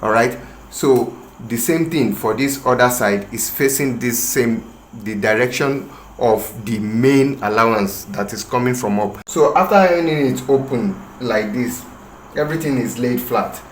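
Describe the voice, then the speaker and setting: A man with a Nigerian English accent and a Yoruba lilt talks slowly through a tailoring demonstration, pausing and restarting as he works. His voice sits at an ordinary mid pitch. It is recorded in an echoey room, with faint ambient noise behind him. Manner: slow, hesitant, explaining with pauses and restarts. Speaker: tailoring instructor. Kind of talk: tutorial demonstration. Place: room with a patterned rug, working on the floor.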